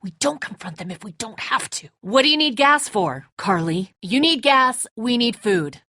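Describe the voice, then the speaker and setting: A woman's voice: a little high, expressive, moderate pace, sharp tone, no room sound, studio quality, full character voice. An actress playing a tense, defensive woman who leads a group of survivors in a dramatic video game, blunt, confrontational and exasperated. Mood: firm, testy.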